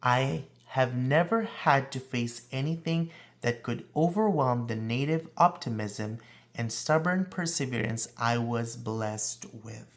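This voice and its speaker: A man reading a children's picture book aloud in a deliberate, expressive storytelling delivery, drawing out words for emphasis. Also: mid-range voice. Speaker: read-aloud reader.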